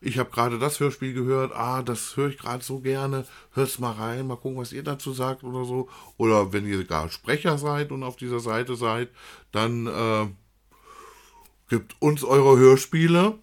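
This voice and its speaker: A man's voice: medium pitch, normal speed. A man co-hosting a casual podcast, rambling and speaking straight to the listeners. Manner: rambling, addresses listeners directly. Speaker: podcast co-host. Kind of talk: casual chat about audio dramas.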